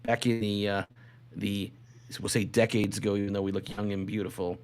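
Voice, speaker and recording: A man's voice: lowish voice, little pitch movement, fairly quick, clean tone. A middle-aged man co-hosting a podcast in casual, rambling chat, circling back and repeating his phrases. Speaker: podcast co-host.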